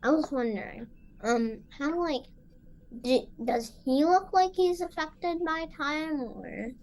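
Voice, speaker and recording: A woman's voice: high voice, lively intonation, takes it slowly, darkish tone, poor audio. A female player chatting casually and a little hesitantly in a tabletop game. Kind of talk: casual chat.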